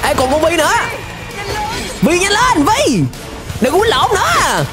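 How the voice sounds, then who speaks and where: A young man with a Southern Vietnamese accent hosting a reaction video with excited, exclamatory commentary. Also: very high voice, measured pace. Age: young adult. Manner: exclamatory, animated. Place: indoor room, gaming setup. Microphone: close desk microphone. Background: music and cartoon soundtrack.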